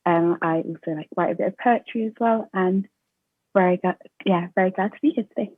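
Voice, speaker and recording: A woman's voice: lowish voice, a little fast, husky, no echo. A nineteen-year-old woman from Canterbury introduces herself hesitantly, with ums, over a video call. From Canterbury, England. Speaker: panelist. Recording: tinny, phone-like line.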